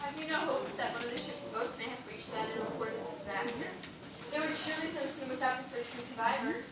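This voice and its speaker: Echoey actress as high-voiced, distraught castaway dancer. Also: female voice, fast talker, close to an everyday voice. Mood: subdued, bleak. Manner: theatrical, impassioned diction. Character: distraught, feverish female dancer castaway, losing her mind. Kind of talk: amateur stage play acting. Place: theatre stage, recorded from audience. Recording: very echoey stage, distant, noisy.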